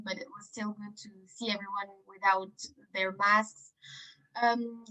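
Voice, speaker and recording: A young woman from Morocco, a student representative, chatting casually with fillers in a webinar over a video call. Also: a little high. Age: young adult. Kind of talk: webinar chat. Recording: dull lo-fi call audio.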